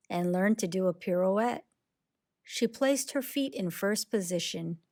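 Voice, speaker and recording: Slow-paced woman reading a children's story. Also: on the low side. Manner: expressive, deliberate storytelling. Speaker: read-aloud storyteller. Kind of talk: children's picture book read-aloud, rhyming narration.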